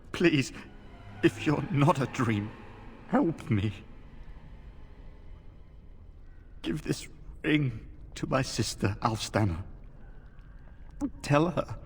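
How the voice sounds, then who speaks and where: An actor playing a delirious, guilt-ridden captive knight, speaking in halting, confused, pleading fragments. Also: male voice, mid-range voice, slight background noise. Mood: feeble pleading.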